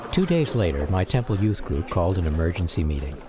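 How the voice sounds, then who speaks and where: An American man giving a measured, reflective first-person narration for a radio documentary drama. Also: low-pitched, big pitch swings, noisy background.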